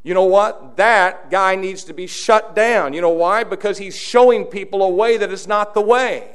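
A man pastor preaching an impassioned sermon, swinging from quiet, intimate passages to loud, shouted declarations. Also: sits high, moderate pace, clean tone. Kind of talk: fiery sermon.

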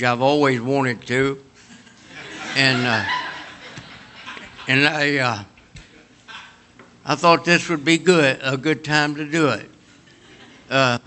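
A man in his late eighties from Kentucky with a Kentucky accent, preaching a homespun sermon full of personal stories. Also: a little high. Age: 80s. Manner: homespun, storytelling, lively and chatty.

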